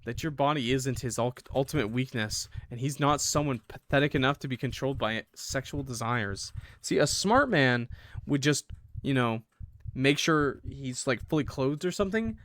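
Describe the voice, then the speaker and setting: A man's voice: medium pitch, expressive, moderate pace, fairly bright tone, no echo. Man reading a visual novel, dry close sound. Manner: jokey, blunt asides, stumbles over read lines. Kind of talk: game playthrough, reading aloud with casual reactions to chat.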